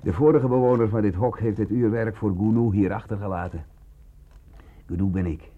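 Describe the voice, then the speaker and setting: An actor in a radio play voicing a cynical, boastful, sickly bandit with a hoarse, drawling, mocking delivery. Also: male voice, lowish voice, fairly even intonation, normal speed, narrow, tinny audio. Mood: swaggering. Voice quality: hoarse, gravelly.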